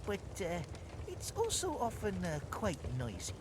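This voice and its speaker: A man's voice: high voice, wildly swooping pitch, measured pace, noisy background, heavily acted. A man voicing a boastful, merry woodland creature, a clever inventor who teaches scouts in a video game with flowery, folksy, playful talk. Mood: sly, chatty. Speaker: tutorial mentor in a video game. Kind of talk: theatrical storybook game instruction.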